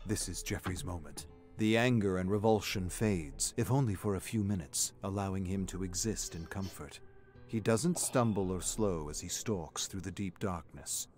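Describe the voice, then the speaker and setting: A man giving measured, theatrical narration of a dark, dramatic scripted story. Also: deep voice, faint music behind.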